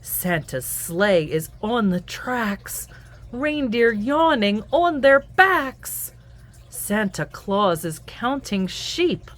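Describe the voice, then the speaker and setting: A woman reading a children's picture book aloud in an expressive, singsong storytelling manner. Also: medium pitch. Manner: animated, singsong, deliberate storytelling. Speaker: children's book reader and host.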